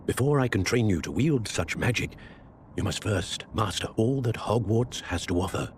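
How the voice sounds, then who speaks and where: Man as a deep-voiced, formal wizard mentor. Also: lively intonation, slight background noise, lightly acted. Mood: grave, instructive. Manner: formal, measured. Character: grave, kindly male professor of ancient magic. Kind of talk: scripted video game acting.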